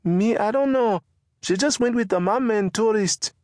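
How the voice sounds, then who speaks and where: A man's voice: sits high, normal speed, darkish tone, no room sound, clean studio sound, voice pushed up, lightly acted. A man voicing an earnest young street boy answering his mother in a raised, boyish voice.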